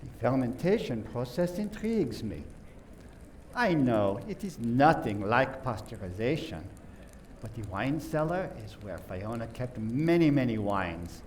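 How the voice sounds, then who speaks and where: A self-important Frenchman, played by a man in a radio drama, savors his own words at a very leisurely pace. His voice sits at a middling pitch but swings grandly up and down, with theatrical repeated emphasis, over faint noise. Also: a little mellow. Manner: theatrical, drawn-out, repetitive emphasis. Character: pompous, self-important Frenchman boasting of his famous ancestry. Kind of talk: community theater radio play acting.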